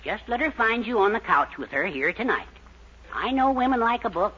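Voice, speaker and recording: A man playing a confident, scheming adviser in a 1940s radio comedy, with a husky voice. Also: sits high, very animated, fairly quick, bright, forceful tone, exaggerated voice. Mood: sly. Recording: dull old radio broadcast.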